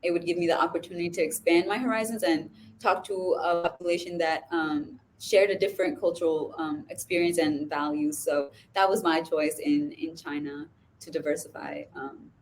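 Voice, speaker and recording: Measured young woman student, lowish voice. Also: fairly even intonation, slight background noise, rough recording. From New York, USA. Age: young adult. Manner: measured, pausing on um. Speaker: student panelist.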